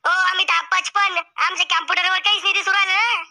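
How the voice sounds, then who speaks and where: A woman's voice: extremely high pitch, steady tone, fairly quick, sharp tone, full character voice. A woman voicing a cheeky, idle young grandson in a cartoon, bantering in a rustic way. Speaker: game show contestant. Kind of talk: cartoon comedy acting.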